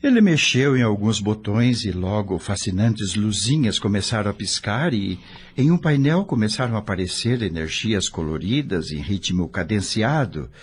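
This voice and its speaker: A man's voice: on the low side, expressive, normal speed, a little hiss or hum, lightly acted. A man playing a curious, awed spirit newcomer who narrates his story in an audio novel. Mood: awed, moved. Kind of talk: dramatized scripted narration.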